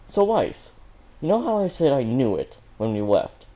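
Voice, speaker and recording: A man's voice: medium pitch, very animated, moderate pace, warm tone, voice pushed up, natural voice. A man voicing an eager, scheming young teenage girl in a story reading, perky and exclaiming.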